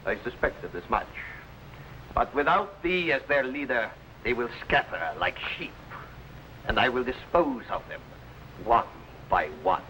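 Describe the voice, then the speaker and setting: A sharp, imperious tyrant governor. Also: male voice, medium pitch, expressive, unhurried. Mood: menacing, scornful. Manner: imperious, archaic, threatening speech. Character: tyrannical, sneering Austrian governor villain. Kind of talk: old-time adventure serial acting. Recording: noisy old broadcast recording.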